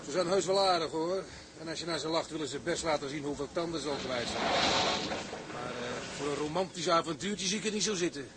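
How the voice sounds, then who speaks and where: An actor plays a seasoned merchant seaman in a radio play, cheeky and blunt. His voice runs a little high, full of wry sailor slang, with noisy ship ambience around it. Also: brisk, close to an everyday voice. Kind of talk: Dutch maritime radio drama acting.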